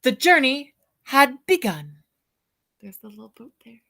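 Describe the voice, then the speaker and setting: Slow-paced woman reading a picture book aloud. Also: high-pitched, big pitch swings, bright, forceful tone. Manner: unhurried, drawn-out words, points things out to young listeners. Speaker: read-aloud storyteller, teacher.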